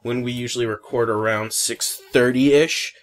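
A man's voice: medium pitch, steady tone, normal speed. A man with a New Jersey accent hosting a casual gaming podcast, rambling through fillers and restarts. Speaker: podcast host.

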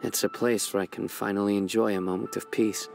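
An actor playing a calm, serious young warrior judge in a video game, speaking in a measured, earnest, reserved way over music. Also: male voice, on the low side, vocal fry. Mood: calm, reflective. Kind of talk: scripted video game character dialogue. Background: background game music.

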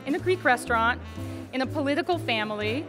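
A woman's voice: high voice, lively intonation, fast, music in the background. An American woman, probably in her thirties, answering questions in an on-camera interview as an art gallery owner. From Dallas, Texas, USA. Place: indoor art fair booth. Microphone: handheld interview mic held out by interviewer.

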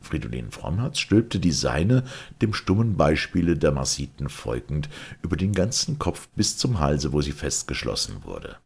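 A man narrating a literary audiobook in a deliberate, dramatic storytelling manner. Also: rumbling low, very animated, vocal fry, darkish tone, slightly rough.

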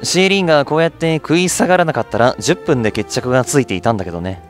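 A man's voice: medium pitch, lively intonation, fast, close to an everyday voice. An easygoing young man from a visual novel, sly yet polite, acted out by a man. He stays mild and unruffled while his intonation moves freely. Light music is behind him. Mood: smooth, teasing.

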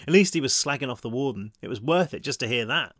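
A man's voice: medium pitch, lively intonation, fast, a bit bright, dry recording, clean studio sound. A man voicing a wounded, weary teenage hero in an audiobook, with a drained, sardonic manner. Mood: weary, scornful.

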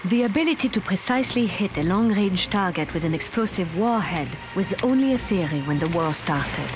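An actress playing a composed, solemn narrator of wartime history in a documentary-style video game cutscene. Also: female voice, mid-range voice, animated, normal speed, noisy background, lightly acted. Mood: informative, composed. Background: loud music.

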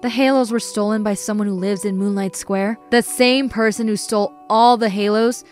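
A woman playing a calm, self-assured detective in an amateur animated movie. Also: mid-range voice, normal speed, soft background music, lightly acted. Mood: inquisitive.

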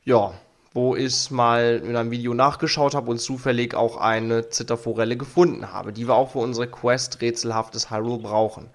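A man's voice: medium pitch, steady tone, normal speed. A young man with a cold, hosting a let's play and commenting casually on his game while reading its text aloud. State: has a cold. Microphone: studio mic on arm. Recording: faint game music behind.

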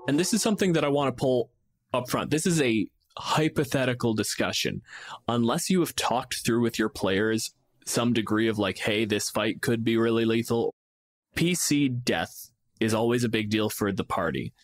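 A man's voice: mid-range voice, normal speed, no room sound. A man from Canada co-hosting a podcast, explaining and arguing his points casually with some swearing. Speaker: podcast co-host and game master.

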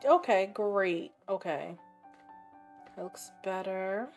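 A woman with a raspy voice and a sore, scratchy throat, chatting casually while narrating her gameplay and thinking aloud. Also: medium pitch, takes it slowly, soft background music. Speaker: game streamer.

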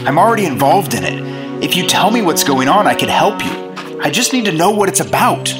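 An actor playing an earnest, dutiful FBI agent in a radio drama, eager and questioning. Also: male voice, a little high, big pitch swings, fairly quick, loud music behind the voice. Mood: pleading.

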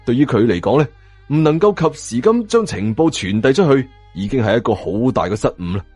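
Husky Hong Kong Cantonese male storyteller. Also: mid-range voice, big pitch swings, fairly quick, a little mellow, no echo, faint music behind. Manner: colloquial storytelling, acting out dialogue. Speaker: audiobook narrator. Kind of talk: dramatised first-person story narration.

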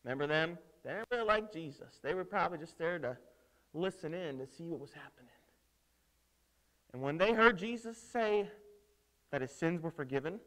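High-voiced man preaching theatrically to children. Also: lively intonation, a bit bright, poor audio. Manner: theatrical, emphatic, with dramatic pauses and rhetorical questions. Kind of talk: dramatic storytelling sermon for children. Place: indoors at a lectern on a stage set.

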